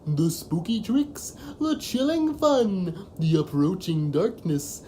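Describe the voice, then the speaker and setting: A gleefully evil pumpkin-headed demon played by a woman gloats through a comedy audio drama. The voice is low and dark, drawn out slowly with theatrical menace, as if savouring every wicked plan. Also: animated, slight background noise. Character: gleefully sinister pumpkin-headed interdimensional maniac, male demon villain.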